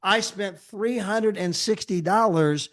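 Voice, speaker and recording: Energetic high-voiced American man pitching travel. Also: slow pace. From United States. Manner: energetic, repetitive, sprinkles "right?" and "okay?". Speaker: travel club presenter. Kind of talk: sales pitch presentation.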